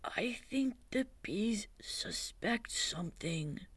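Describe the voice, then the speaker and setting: A storybook reader voicing a gentle, slow-witted toy bear who talks in a soft, breathy half-whisper, slow and thoughtful. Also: mid-range voice, lively intonation, dry recording, pitched above the performer's own voice, exaggerated voice. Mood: conspiratorial whisper.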